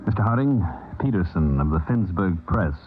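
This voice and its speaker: An actor playing an undercover government agent who passes as a polite reporter, in old radio drama style. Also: male voice, low voice, expressive, moderate pace, loud music behind the voice. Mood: confidential, businesslike. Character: eager, deferential undercover agent posing as newspaper reporter. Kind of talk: 1950s radio drama acting. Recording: noisy old narrow-band radio recording.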